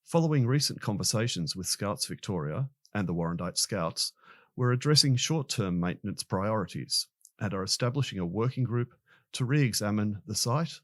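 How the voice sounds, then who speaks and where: A man's voice: lowish voice, lively intonation, moderate pace, a bit bright, no echo, clean studio sound. A man reading a prepared official statement aloud for a news article. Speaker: volunteer reader of quoted statement.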